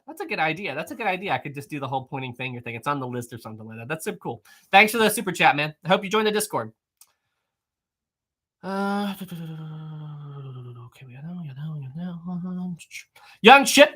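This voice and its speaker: A man hosting a casual live stream, answering viewer messages in an animated, rambling way with frequent fillers, restarts and laughs. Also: a little high, brisk, breathy edge. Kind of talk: casual live-stream chat reading super chats.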